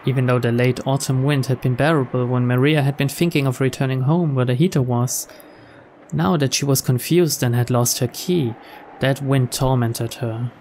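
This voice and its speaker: A man's voice: mid-range voice, fairly even intonation, moderate pace, a little hiss or hum. A man reading a visual novel's story aloud as scripted narration. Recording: soft music behind the voice.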